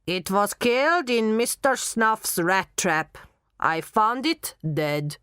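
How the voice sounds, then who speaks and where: A woman acts out a gruff man under hypnosis in a storybook. He speaks very slowly and mechanically, obediently owning up with a heavy, blank manner. The sound is dry and close. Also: mid-range voice, animated, fairly bright tone, dry recording, pitched above the performer's own voice. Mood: mournful. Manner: slow, robotic delivery.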